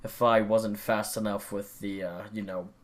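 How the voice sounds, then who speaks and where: A man's voice: on the low side, little pitch movement, normal speed. A young man rambling casually at his computer about gaming, swearing often and full of fillers and restarts. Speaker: gaming vlogger.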